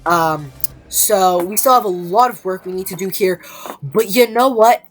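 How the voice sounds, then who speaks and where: Stuffed-up, nasal woman narrating slowly. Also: medium pitch. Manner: hesitant, many ums and restarts. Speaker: gameplay video creator. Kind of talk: casual gameplay commentary. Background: faint background music.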